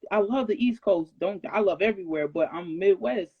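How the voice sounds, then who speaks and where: A woman's voice: medium pitch, a little fast, slightly rough. Woman on a lo-fi live stream. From Detroit, USA. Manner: animated, laughs often, emphatic repetition. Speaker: rapper guest. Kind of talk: casual live-stream chat. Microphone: phone on a live video call.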